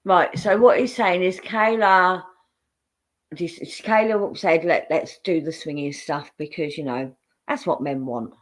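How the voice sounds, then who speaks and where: Woman co-host, low voice, picking the story apart. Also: unhurried. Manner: analytical, picks apart the story, some hesitant restarts. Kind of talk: casual live stream commentary.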